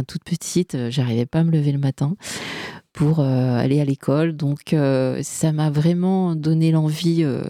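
Woman, radio host, low-pitched, mellow, dry studio, chatty, hesitant. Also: no echo. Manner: chatty, hesitant, many fillers. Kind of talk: casual radio chat.

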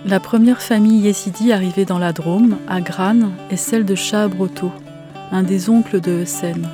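A woman reading scripted documentary narration in a clear, even delivery. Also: mid-range voice, moderate pace, faint music behind.

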